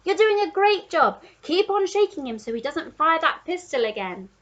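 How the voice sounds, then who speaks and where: A woman voicing a giraffe who shouts and cheers the others on. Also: extremely high pitch, big pitch swings, normal speed, dull audio, pitched above the performer's own voice, heavily acted. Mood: cheering. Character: encouraging excited female giraffe.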